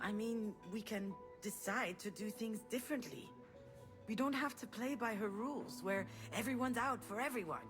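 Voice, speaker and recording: A woman's voice: a little high, lightly acted. A woman playing a protective, reflective older sister in a naturalistic video game drama, earnest and wry. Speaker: game protagonist. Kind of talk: naturalistic drama voice acting, dialogue and inner monologue.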